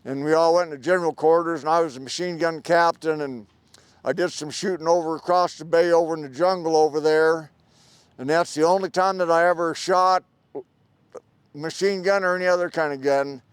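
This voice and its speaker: A man in his seventies, a veteran, telling his own story in a plainspoken, rambling way with pauses and fillers. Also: fairly high pitch, fairly even intonation, clear, resonant tone, no echo. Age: 70s. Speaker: veteran interviewee. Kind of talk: personal storytelling. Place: outdoor park event.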